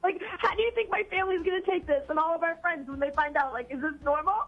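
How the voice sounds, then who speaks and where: Very high-voiced angry woman on phone. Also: fast talker. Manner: angry, upset. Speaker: caller. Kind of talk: phone call on radio. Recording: tinny phone line with music.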